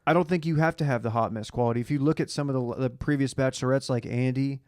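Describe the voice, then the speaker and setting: A man from Dallas talks as a podcast co-host in casual, conversational banter. His voice sits at a middling pitch, recorded close and dry. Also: fairly even intonation, quick pace, darkish tone, no room sound. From Dallas, Texas. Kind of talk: casual banter recapping reality TV.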